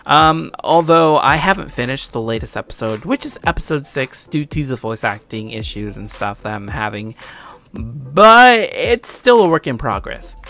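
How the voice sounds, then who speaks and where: A man's voice: mid-range voice, expressive, moderate pace, bright, forceful tone, faint music behind. A young adult man giving casual, opinionated anime commentary in a slangy way with some swearing.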